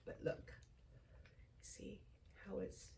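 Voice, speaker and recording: A woman's voice: drawn-out words, warm tone, far from the mic. A woman in her fifties whose first language is Tagalog, hosting a chatty vlog and dropping into whispered asides. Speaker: vlog host. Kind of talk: casual chat.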